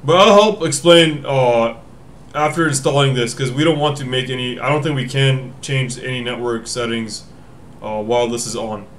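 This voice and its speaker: A man's voice: mid-range voice, steady tone, normal speed, a little hiss or hum. A young man hosting a casual tech tutorial from a home room, thinking aloud, often correcting himself as he explains the steps. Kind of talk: casual screen-recorded walkthrough.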